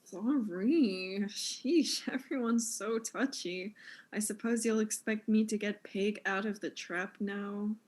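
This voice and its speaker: A woman's voice: mid-range voice, slow pace, a little mellow. A woman playing an unrepentant, lovestruck tinkerer in a comic mystery, with a flippant, blasé manner.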